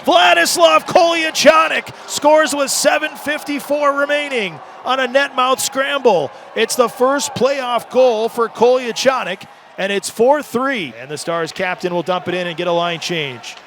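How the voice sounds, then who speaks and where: A man delivers live hockey play-by-play for radio in a very high-pitched voice. His tone is bright and forceful, with an excitable delivery that climbs from steady calls into shouts. Faint arena noise sits behind him, as in a live broadcast. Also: a little slow, some chatter behind.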